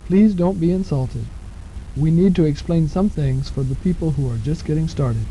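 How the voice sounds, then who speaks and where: A man's voice: a little high, normal speed, dark tone. A man narrating step-by-step instructions in a patient, plain-spoken, explanatory way, on an old 1970s cassette recording. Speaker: instructor narrating a program manual. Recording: muffled 1970s cassette tape with hiss.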